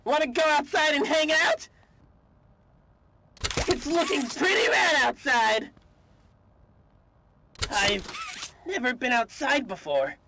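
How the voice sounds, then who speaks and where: Cool but timid game chick, high lilting voice, noisy clip. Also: expressive, slow pace, long pauses, pushed and bright, full character voice. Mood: friendly, casual. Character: cool, friendly young toy chicken, secretly timid. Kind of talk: video game character voice lines.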